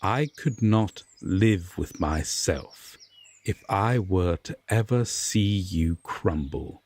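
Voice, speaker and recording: Man voicing a deep, husky, unhurried Fae king. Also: lively intonation, a little mellow, exaggerated voice. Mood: apologetic, guarded. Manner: measured, formal, deliberate phrasing with long pauses. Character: aloof, reluctant Fae king, stern yet secretly tender. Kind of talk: slow roleplay audio drama monologue.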